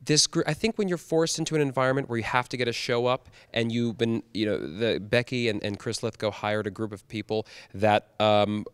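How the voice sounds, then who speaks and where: A man chatting casually in an interview, speaking in a halting, thoughtful way. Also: mid-range voice, smooth, dry recording. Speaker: stage performer.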